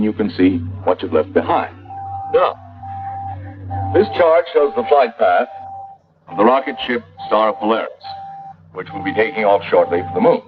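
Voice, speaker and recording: A man playing an instructive space-travel guide, deliberately pointing things out in 1950s scripted narration. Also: on the low side, close to an everyday voice. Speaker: preshow presenter. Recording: tinny band-limited 1950s soundtrack. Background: loud music.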